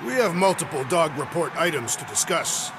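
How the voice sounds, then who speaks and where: Man acting solemn game warrior, formal wording, lively intonation, music behind. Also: on the high side, normal speed, natural voice. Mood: matter-of-fact. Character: earnest, literal-minded alien warrior man.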